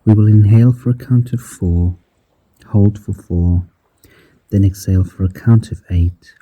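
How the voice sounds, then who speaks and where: A man guiding a breathing meditation in a slow, soft-spoken manner, counting the breaths aloud and dropping some counts to a whisper. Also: on the low side, slightly rough, low-quality recording. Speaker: meditation guide.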